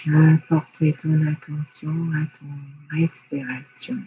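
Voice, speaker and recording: A person guiding a yoga nidra relaxation in a hushed, soothing, unhurried way with long pauses. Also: medium pitch, fairly even intonation, muffled recording, slight background noise. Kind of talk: guided relaxation meditation.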